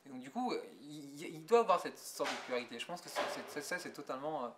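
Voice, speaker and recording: A man's voice: fairly high pitch, animated, fast talker, noisy background. A young adult man, a visual artist, answering interview questions thoughtfully with many restarts and fillers. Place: museum gallery. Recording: echoey room.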